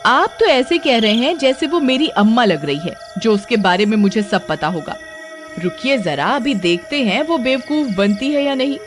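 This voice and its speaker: A woman's voice: a little high, animated, normal speed, over music, close to an everyday voice. A woman voicing a bold, feisty village wife who scolds and teases in an assertive manner. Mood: teasing, irritated. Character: bold, feisty village wife protecting her timid husband.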